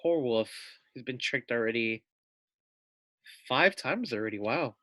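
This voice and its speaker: A man's voice: medium pitch, animated, measured pace, pushed and bright, no room sound. A man reading a children's story aloud as a teacher, with chatty asides and questions to his young listeners. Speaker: teacher reading to first graders.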